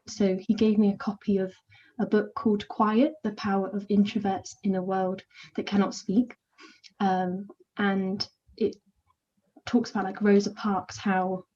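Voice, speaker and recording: Young woman, volunteer mentor; quiet, hesitant delivery, soothing mellow voice, muffled video-call sound. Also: medium pitch. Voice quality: soft, soothing, relaxing voice. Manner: quiet, introverted, hesitant with many fillers. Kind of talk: casual interview answers.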